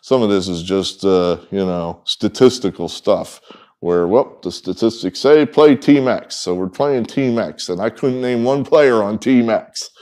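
A man's voice: fairly low pitch, a little slow, a little mellow. A middle-aged man hosting a sports betting picks video, talking casually and rambling to the camera with lots of ums and asides. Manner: rambling, folksy, frequent ums and trailing asides. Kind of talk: casual off-the-cuff talk to camera. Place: indoor room with wood-paneled walls, in front of a whiteboard.

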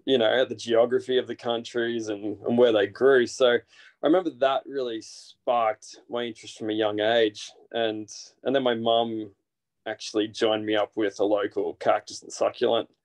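A man in his thirties with an Australian accent, a guest talking casually over a video call from a small room, relaxed and full of fillers. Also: medium pitch, normal speed. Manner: relaxed, rambling with frequent fillers and restarts. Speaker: guest, naturalist guide. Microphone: video call, built-in device mic.

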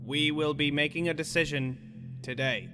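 An actor playing a firm, authoritative town leader addressing an assembly in formal, declamatory audio drama style. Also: male voice, on the high side, fairly even intonation, moderate pace, bright, forceful tone. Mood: solemn. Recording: long hall reverb, music underneath.

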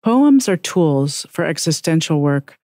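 A podcast host introducing a guest and asking gentle questions, answering with soft affirming murmurs. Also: mid-range voice, sing-song, measured pace, no echo. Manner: gentle and affirming, many short murmured replies. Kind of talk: podcast interview.

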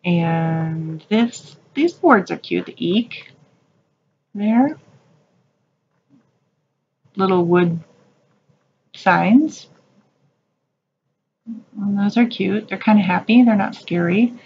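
A woman's voice: medium pitch, drawn-out words, pauses often, darkish tone, husky, highs cut off. A woman narrating a casual shop-with-me video in a craft store, gushing and chatty about what she finds. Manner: gushing, chatty, calls things cute. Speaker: shopping vlogger. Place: craft store aisles, indoors.

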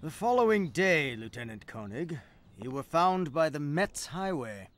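Severe officer, swooping pitch. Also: male voice, very animated, unhurried, fairly bright tone. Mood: severe, formal. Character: brisk, severe military officer questioning a subordinate. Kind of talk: video game cutscene acting.

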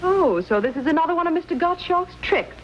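A woman's voice: extremely high pitch, animated, fairly quick. An actress playing a haughty, well-bred young lady in an old western, with an imperious, clipped manner. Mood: haughty, annoyed. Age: young adult. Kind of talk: 1950s television western acting. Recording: muffled, hissy old TV soundtrack.

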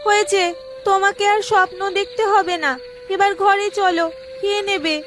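Lady doing a super high voiced village wife, caring but naggy, cartoon story, music playing behind. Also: steady tone, normal speed. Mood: fondly chiding. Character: caring, gently scolding young village farmer's wife.

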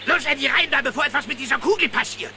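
A scheming cartoon sheriff, pitched high and gravelly. He mocks and feigns politeness, swinging widely in tone, with music around him. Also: fast. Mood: exasperated. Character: scheming, mocking male sheriff villain of cartoon animals. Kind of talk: cartoon radio play acting in German.

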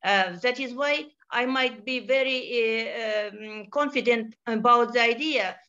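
Woman, Kazakh accent, very slow, hesitant, dull lo-fi call audio. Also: fairly high pitch, bright, forceful tone. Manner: slow, hesitant, many pauses and fillers. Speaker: panel speaker. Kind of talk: prepared remarks in a video call. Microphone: video call.

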